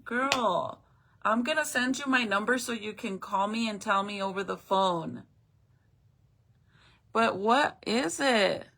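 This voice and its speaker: A woman's voice: medium pitch, slow, creaky, fairly bright tone. A woman whose first language is Spanish, chatting casually with her viewers on a live stream, telling stories and swearing freely.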